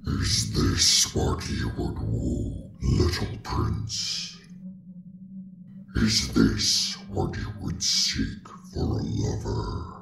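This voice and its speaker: A man voicing a huge, proud male dragon in monstrous form, with a growling rasp, menacing and haughty, in an audio roleplay. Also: a bass voice, sing-song, voice pushed down, exaggerated voice. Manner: menacing, haughty, drawn-out delivery. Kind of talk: ASMR roleplay acting. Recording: echoing, distant, faint background noise.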